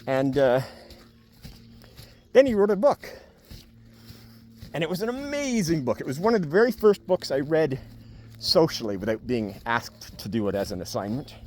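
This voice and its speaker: A man's voice: a little high, very animated, normal speed, long pauses, a little mellow, a little hiss or hum. A man talking casually and spontaneously to camera in a rambling, wry, jokey way, like a vlogger giving a review. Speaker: video blogger giving a book review. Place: on a farm among cows.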